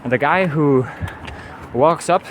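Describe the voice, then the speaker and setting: Man, Danish accent, somewhat high-pitched, earnest rambling vlog talk, outdoors, clear traffic noise. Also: takes it slowly. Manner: rambling and earnest, frequent "you know". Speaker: travel vlogger. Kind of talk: casual walking vlog monologue. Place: outdoors on a city street. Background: city traffic and vehicles.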